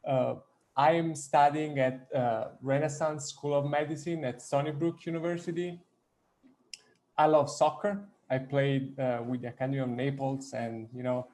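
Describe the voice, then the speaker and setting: A man's voice: fairly high pitch, darkish tone. A young man from Italy, a medical student introducing himself on a panel in a chatty, enthusiastic way. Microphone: video call.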